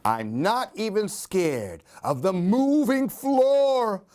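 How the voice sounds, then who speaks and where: Slow, high-pitched, animated male storyteller. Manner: theatrical, singsong rhyming delivery. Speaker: storyteller reading aloud. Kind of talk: expressive children's story reading.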